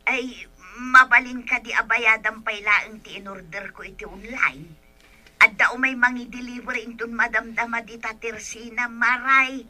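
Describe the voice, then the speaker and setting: An actress as a flustered, evasive village woman in comic Ilocano drama. Her voice is fairly high and very bright, and she stalls with little hesitant interjections in an Ilocano accent. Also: steady tone, normal speed, no room sound, low-quality recording. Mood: pleading. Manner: hesitant, full of filler interjections. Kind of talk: comedic radio-style drama acting.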